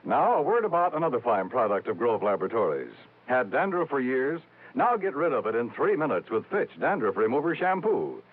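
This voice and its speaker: A man's voice: medium pitch, big pitch swings, brisk. A man announcing a 1950s radio show and its ads in a punchy salesman style. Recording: tinny old radio broadcast with music.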